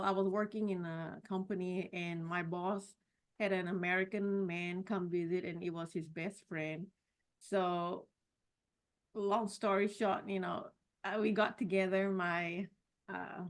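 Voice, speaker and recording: Reflective woman from Singapore. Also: medium pitch. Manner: warm, reflective, with frequent "you know" and restarts. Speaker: podcast guest. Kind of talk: personal story in a casual interview.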